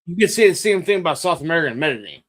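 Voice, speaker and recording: A man's voice: on the high side, very animated, racing, fairly bright tone. A man chatting casually on a panel, slangy and profane, jumping in with short remarks. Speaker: talk show panelist. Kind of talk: casual chat.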